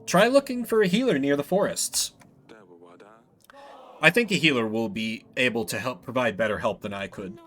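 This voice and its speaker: A man's voice: mid-range voice, expressive, moderate pace, fairly bright tone, soft background music. A man voicing a deferential, helpful royal advisor in a video game, formal and respectful. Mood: counselling.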